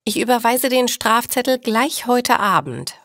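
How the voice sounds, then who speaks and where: A busy, kindly young midwife from an audio storybook, voiced by a woman narrator. She is earnest and clearly spoken, with swinging intonation and unhurried delivery. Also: on the high side, lively intonation, fairly bright tone, no room sound, studio quality, voice pushed up. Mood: pleading. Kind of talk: audio storybook dialogue for learners.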